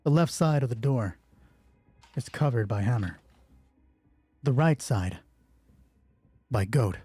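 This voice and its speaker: Male solo RPG host. Dark, soft-edged, slightly rough voice; dramatic narrator who drops into casual rules talk. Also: medium pitch, expressive, takes it slowly, dry recording, soft background music. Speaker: solo roleplay game master and host. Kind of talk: tabletop roleplay narration mixed with casual rules explanation.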